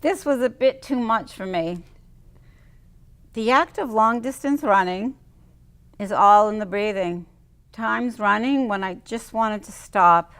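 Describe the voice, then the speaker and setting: A woman reading her own personal writing aloud and chatting about it in a reflective, unhurried way. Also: medium pitch, a bit bright. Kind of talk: spoken reading of personal memoir and reflective chat.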